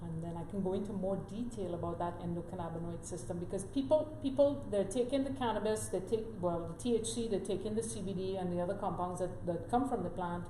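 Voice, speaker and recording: A woman's voice: lowish voice, quick pace, hardly any pauses, mellow. A woman from Trinidad, a guest expert on a talk show, explaining earnestly and step by step, often checking in with "right?". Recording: echoey room with faint noise.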